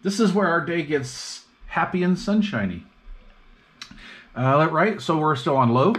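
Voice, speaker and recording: A man's voice: a little high, lively intonation, a little slow, a bit bright. A man talks casually and slangily, thinking aloud as he demonstrates gear at a workbench. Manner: laid-back, slangy, muttering to himself. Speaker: hobbyist vlogger demonstrating radio repair. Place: indoor radio workbench. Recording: clearly noisy workbench recording with clicks.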